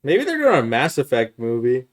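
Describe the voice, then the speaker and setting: A man's voice: medium pitch, wildly swooping pitch, moderate pace. A man co-hosting a podcast in casual chat, talking in an informal, slangy way. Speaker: podcast host.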